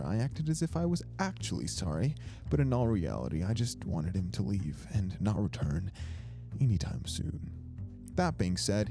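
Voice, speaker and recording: A man's voice: on the low side, mellow. A man narrating first-person fiction from a script in a wry, world-weary way, as an amateur audiobook reader. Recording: music behind the narration. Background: instrumental music.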